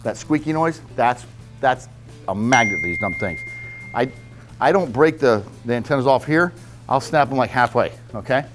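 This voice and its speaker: Man in his fifties, plain mid pitch, folksy seminar talk, lapel mic, faint music. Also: expressive, normal speed. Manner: blunt, folksy, repeats "okay?" to check listeners. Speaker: fishing seminar speaker. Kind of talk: casual hands-on fishing talk. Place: club meeting room with whiteboard.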